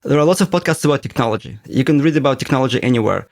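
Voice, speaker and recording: Gravelly man, thoughtful. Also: mid-range voice, no echo, clean studio sound. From Russia. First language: Russian. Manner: measured, thoughtful, explains at length. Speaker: podcast co-host, interviewee. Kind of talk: reflective conversational interview.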